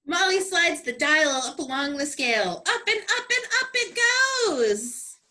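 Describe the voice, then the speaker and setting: A woman's voice: sits high, sing-song, measured pace, bright, forceful tone. A woman comedian delivering sassy, emphatic and theatrical scripted narration.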